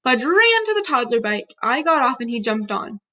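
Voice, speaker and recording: A woman narrates a children's book in a high voice. Her storytelling is expressive, with pitch that moves widely. The recording is a little dull and lo-fi. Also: moderate pace. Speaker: storyteller reading aloud.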